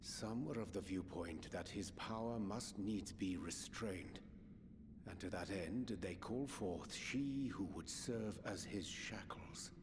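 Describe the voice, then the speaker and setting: A man's voice: lowish voice, slow, noisy background. A man voicing a grave, eloquent scholar of ancient history in formal, archaic video game dialogue. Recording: long hall reverb, music underneath.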